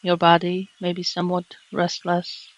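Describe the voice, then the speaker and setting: A woman meditation teacher narrating a guided relaxation in a gentle, calming, measured way with long pauses. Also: fairly low pitch, highs cut off. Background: birdsong and nature sounds.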